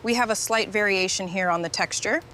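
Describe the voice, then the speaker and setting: A woman's voice: medium pitch, fairly quick, a bit bright, slight background noise, lightly acted, low-quality recording. A woman playing a composed quality-control technician in scripted factory dialogue, speaking in a clipped, procedural way. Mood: composed, businesslike.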